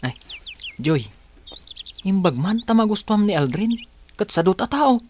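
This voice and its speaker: Animated, concerned village friend. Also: male voice, high-pitched, unhurried, slight background noise. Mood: concerned, sceptical. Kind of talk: Ilocano radio drama acting.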